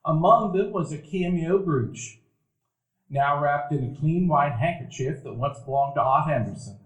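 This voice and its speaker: With the echo of a live stage around him, an actor plays a nostalgic man remembering his boyhood in a radio play. His voice sits a little high for a man, and he narrates in a measured, literary storytelling style. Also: lightly acted. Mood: mournful, reflective. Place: event hall before live dinner-theater audience. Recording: echoey live theater stage.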